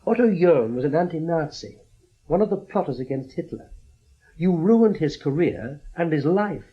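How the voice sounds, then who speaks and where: A man's voice: on the high side, expressive, normal speed, slightly rough, highs cut off. A man playing a defiant, sceptical Western intelligence officer in a radio drama, with a dry, terse, challenging delivery.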